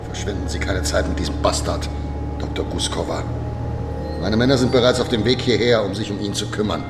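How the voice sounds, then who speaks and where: An actor playing a sadistic, sneering Soviet secret police officer, menacing and mock-polite, in a radio drama. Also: male voice, fairly low pitch, brisk, natural voice. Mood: contemptuous. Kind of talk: German radio drama villain acting. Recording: reverberant hall, very loud music underneath.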